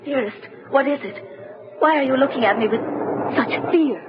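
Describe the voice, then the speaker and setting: A woman's voice: sits high, normal speed, music in the background, lightly acted. An actress playing an anxious, genteel lady in an old-fashioned gothic radio drama, soothing, earnest and melodramatic. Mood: fearful, puzzled. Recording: muffled, noisy radio drama recording.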